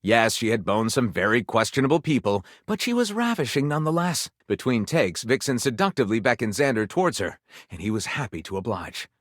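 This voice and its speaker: A man's voice: medium pitch, very animated, brisk, no room sound, clean studio sound. An actor voicing a flamboyant male storyteller of lurid romance in a video game, with theatrical, breathless, overwrought dramatic narration. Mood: melodramatic, lustful.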